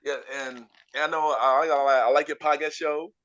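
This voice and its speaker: A man hosting a podcast, talking in a relaxed, slangy way and often repeating and restarting phrases. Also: on the high side, moderate pace, muffled recording. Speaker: podcast host. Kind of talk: casual interview chat.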